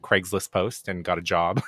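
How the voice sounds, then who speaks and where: Deep-voiced Japanese man, relaxed. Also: a little slow, dry recording, studio quality. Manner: relaxed, self-deprecating, many fillers and restarts. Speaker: podcast guest, game producer. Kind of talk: casual interview chat.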